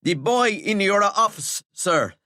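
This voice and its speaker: A man doing a panting, shrill teenage prefect. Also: expressive, takes it slowly, dry recording, studio quality, heavily acted. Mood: breathless urgent. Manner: high, strained, out-of-breath shouting. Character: panicky breathless teenage boy prefect.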